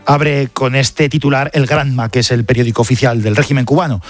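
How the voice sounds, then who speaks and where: A man's voice: mid-range voice, steady tone, fairly quick, soft background music. A man with an accent from Spain presenting a scripted press review on the radio, with conversational asides to a colleague. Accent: Spanish, from Spain.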